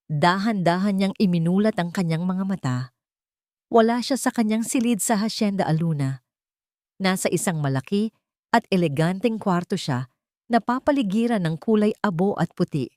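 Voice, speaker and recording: A woman's voice: on the low side, a little mellow, no room sound, clean studio sound. A woman narrating a scripted romance story in a dramatic storytelling style.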